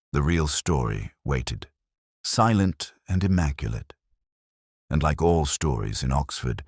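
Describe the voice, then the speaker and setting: Very deep, husky male mystery narrator. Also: dry recording, studio quality. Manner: measured, literary, reflective. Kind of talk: first-person scripted detective story narration.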